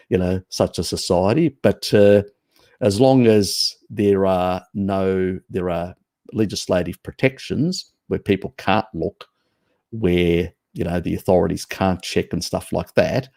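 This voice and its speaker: Australian male host, very low and gravelly, slightly croaky, rambling opinionated live-stream talk, dry close sound. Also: a little mellow, dry recording. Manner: rambling, opinionated, greets viewers by name. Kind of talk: casual live-stream Q&A, reading chat.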